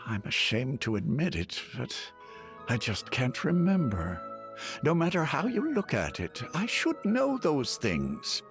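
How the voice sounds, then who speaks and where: An actor voicing a humble, soft-spoken elderly village chief in a video game who is losing his eyesight. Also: medium pitch, wildly swooping pitch, slow pace, full character voice. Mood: kindly. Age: older. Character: elderly half-blind male village chief, gentle and humble. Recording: faint game music behind.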